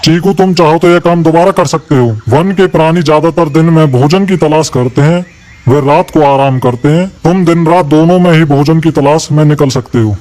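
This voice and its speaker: A man voicing a worried, serious male elephant who guards the forest in an animated moral story. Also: a little high, moderate pace, close to an everyday voice. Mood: encouraging. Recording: music behind the voice.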